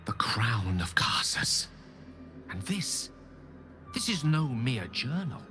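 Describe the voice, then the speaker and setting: A man acting as an eloquent, ambitious wizard in a video game, speaking in theatrical, erudite phrases with dramatic pauses. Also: mid-range voice, big pitch swings, bright, forceful tone, soft background music, exaggerated voice. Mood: awed. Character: ambitious, eloquent male wizard hungry for godlike power. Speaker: game character, wizard companion.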